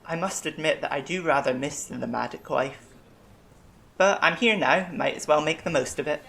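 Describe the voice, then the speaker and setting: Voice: mid-range voice, moderate pace, gravelly, fairly bright tone. Polite, formal alien bear medic played by an actor. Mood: polite, modest. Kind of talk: science-fiction audio drama acting.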